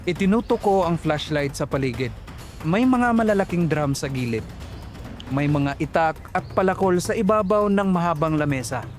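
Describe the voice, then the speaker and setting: A man's voice: a little high, animated, moderate pace, faint music behind. A young man narrating a horror story in a tense, suspenseful telling.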